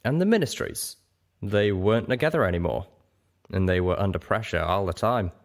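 A man's voice: on the low side, expressive, a little fast, gravelly. A man voicing a huge old male dragon in a story reading, earnest and nostalgic, with a reflective, emphatic manner.

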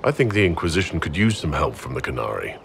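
A calm commander in a fantasy game, really deep voice, expressive pitch, measured. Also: male voice, gravelly, slight background noise, heavily acted. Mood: calm, probing. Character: calm, measured male leader of fantasy order. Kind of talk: video game dialogue acting.

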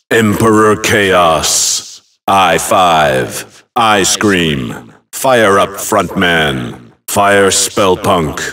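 An actor playing a grave, imposing fantasy video game announcer, calling out names one at a time in emphatic, theatrical bursts. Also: male voice, deep voice, a bit bright, far from the mic, exaggerated voice.

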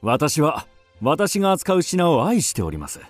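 An unctuous antique dealer in a radio drama, fairly high for a male voice and swooping in pitch. His speech is florid, flattering and deferential. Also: measured pace, soft background music. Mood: fawning.

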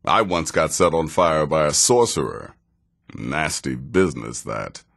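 A man playing a wry, sardonic middle-aged assassin in a fantasy video game, speaking in a dry, measured, sarcastic way. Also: extremely low pitch, animated, creaky, a bit bright, full character voice. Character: wry, sardonic veteran male assassin handing out contracts.

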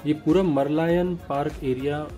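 A man narrating his travel vlog in a calm tour-guide manner, mixing in English phrases. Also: a little high, moderate pace. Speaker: travel vlogger. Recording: voice over loud music.

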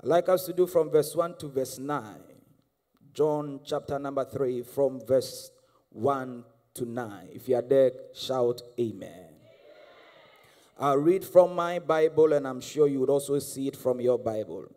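A man's voice: medium pitch, measured pace, warm tone. A man in his thirties with a Ghanaian accent, a guest prophet preaching a charismatic sermon on stage with a handheld mic, exhorting the crowd and repeating lines for them to answer. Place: large church hall on stage. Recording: live church hall with long reverb and music.

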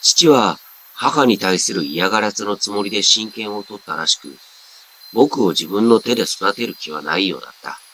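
A man's voice: on the low side, fairly bright tone, slight background noise. A man reading a scripted first-person story as a dramatic narrator. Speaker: story reader. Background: background music.

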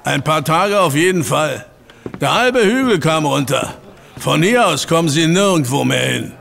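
Plain-spoken male rural track worker for audio drama, creaky voice pitched a little high. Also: expressive, moderate pace, a bit bright, slight background noise. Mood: matter-of-fact. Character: matter-of-fact male railway workman in rural Britain. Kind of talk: scripted radio drama acting.

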